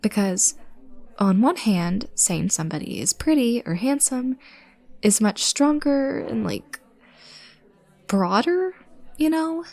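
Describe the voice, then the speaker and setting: Woman playing a shy, creaky-voiced adorkable stranger. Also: a little high, expressive, takes it slowly, long pauses, heavily acted. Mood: earnest, rambling. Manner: hesitant, self-conscious, soft-spoken rambling. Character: shy, adorkable young female stranger, awkwardly sweet overthinker. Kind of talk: intimate roleplay audio drama, rambling naturalistic chat.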